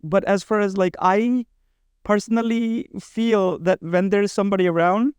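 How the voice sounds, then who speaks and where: A man's voice: high-pitched, fairly even intonation, moderate pace, darkish tone, clean tone, no echo. A man talking casually as an interview guest, earnest and often repeating his phrases. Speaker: podcast guest, bridal expo organizer.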